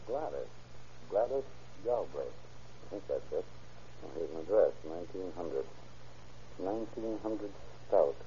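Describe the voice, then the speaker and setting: A take-charge cop boss in an old radio crime show, lowish voice, muffled old recording. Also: male voice, lively intonation, unhurried, pauses often, warm tone, slight background noise. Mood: intent, puzzling out. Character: brisk, commanding male police officer in charge. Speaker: actor and narrator in a radio crime drama. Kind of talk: old-time radio acting, dramatized police narration. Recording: dull, lo-fi vintage radio recording.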